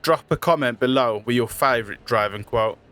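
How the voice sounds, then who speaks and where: A man's voice: medium pitch, normal speed, a bit bright. A man reading scripted trivia narration as the narrator of a video. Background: music.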